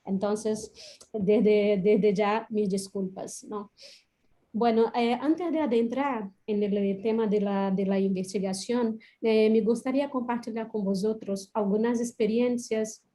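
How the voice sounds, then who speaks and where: Brazilian lady giving a webinar talk, mellow voice, lots of ums, portuguese accent. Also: medium pitch. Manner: hesitant, with frequent fillers. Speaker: guest lecturer.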